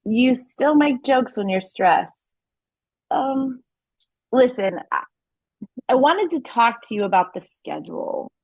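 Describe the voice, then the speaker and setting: A woman playing a gentle, tentative mother and soon-to-be ex-wife in an audio play, speaking haltingly. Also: fairly high pitch, expressive, breathy edge, no room sound, full character voice. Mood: tentative, warm.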